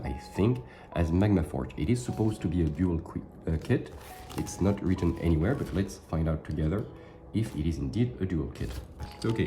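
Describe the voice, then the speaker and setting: A low-pitched man hosts a casual miniatures unboxing, sounding slightly sick and not fully clear. He talks things through loosely, fixing his words as he goes. Music plays clearly underneath his voice. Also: dark tone. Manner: chatty, thinks aloud with small self-corrections. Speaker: wargaming channel host. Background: background music.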